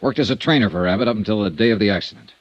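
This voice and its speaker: An actor playing a tough, wry insurance investigator in a 1950s radio drama, terse and persistent. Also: male voice, on the low side, very fast, dry recording, muffled recording, lightly acted. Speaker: investigator and narrator.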